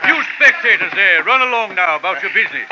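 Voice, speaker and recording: An actor playing a pompous older colonel in a 1950s radio drama, formal and scolding. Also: male voice, sits high, lively intonation, quick pace. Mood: stern. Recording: hissy lo-fi old radio recording.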